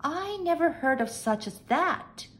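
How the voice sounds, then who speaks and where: A plucky storybook housekeeper, acted out by a woman, pitched well up high. The voice is chirpy, eager and polite, with bouncy, animated intonation. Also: a little slow. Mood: doubtful. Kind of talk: storybook character acting.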